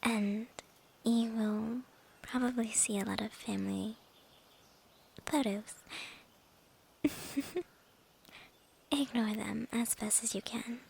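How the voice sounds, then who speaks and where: A woman acting a slow, whispery young vampire girl. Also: a little high, fairly even intonation, exaggerated voice. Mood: coy, amused. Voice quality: soft, breathy, often whispering. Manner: coy, hesitant, drawn-out phrasing with soft chuckles. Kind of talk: ASMR roleplay, intimate girlfriend-style monologue.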